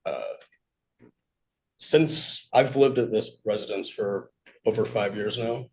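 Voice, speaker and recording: A man giving unscripted testimony to a judge, speaking in a halting, polite way. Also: fairly low pitch. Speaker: petitioner testifying in court. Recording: dull, lo-fi courtroom audio.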